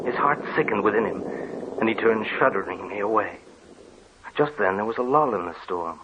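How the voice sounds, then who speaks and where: Solemn storyteller played by a man, lower voice, measured, muffled lo-fi old radio. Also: expressive, natural voice. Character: grave man recounting his family's legend. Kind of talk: 1940s radio drama narration. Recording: dull old radio recording with faint hiss.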